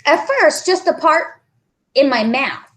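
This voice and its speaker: A woman's voice: sits high, animated, takes it slowly, a bit bright, voice pushed up, full character voice. A woman voicing an anxious schoolboy in a storybook, earnestly pleading and protesting that he is telling the truth. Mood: protesting, self-pitying. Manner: earnest, protesting, swooping upward in emphasis.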